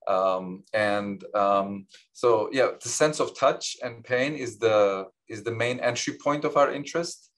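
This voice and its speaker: Lebanon-raised man, Armenian native, low voice. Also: a little slow, few pauses, fairly bright tone. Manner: modest, frequent restarts and fillers. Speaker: Nobel laureate guest. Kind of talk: candid interview over video call. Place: office with large window. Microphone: video call webcam.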